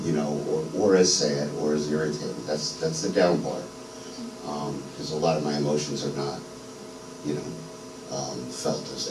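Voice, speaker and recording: A middle-aged man from New York giving an unscripted personal testimony as a guest speaker, halting with many ums and restarts. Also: rumbling low, far from the mic, noisy background. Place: church sanctuary. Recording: echoey church hall, voice from afar.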